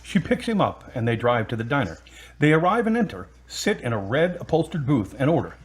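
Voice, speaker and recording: A man's voice: mid-range voice, very animated, fairly quick, slightly rough. A man reading scripted narration for an audio drama.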